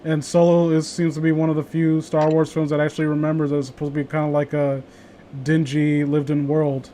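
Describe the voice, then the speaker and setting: A man's voice: a little high, completely flat delivery, brisk, warm tone, a little hiss or hum. A man chatting casually as a co-host, rambling and swearing offhandedly. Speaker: co-host of an online read-along chat. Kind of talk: casual chat.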